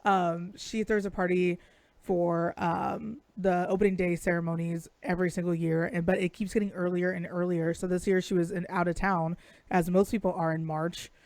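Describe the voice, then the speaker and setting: Woman, raspy, swears freely, dry close sound, chatty storyteller. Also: lowish voice, level tone, normal speed, a little mellow, no room sound. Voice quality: raspy today. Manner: profane, storytelling. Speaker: podcast co-host. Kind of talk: casual chat.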